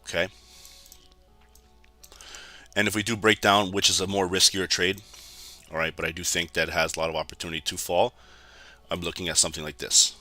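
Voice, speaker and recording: Host casting: a Canadian man with a raspy, hoarse voice, low and very bright, chatty live market commentator on a dry mic with faint music under him. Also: flat delivery, fairly quick, pauses often, no room sound. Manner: chatty, keeps addressing viewers as guys. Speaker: trading educator streaming live.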